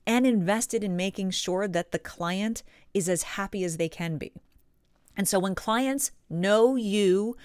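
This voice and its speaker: A woman presenting instructional advice as a coach, with emphatic, deliberate stresses on key words. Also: lowish voice, dry recording, clean studio sound. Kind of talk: instructional advice talk to camera.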